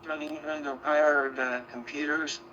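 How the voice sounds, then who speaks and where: Bright, forceful man on dull radio link. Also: fairly high pitch, little pitch movement, moderate pace. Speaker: amateur radio operator. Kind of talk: casual technical radio chat. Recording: dull, noisy digital radio transmission.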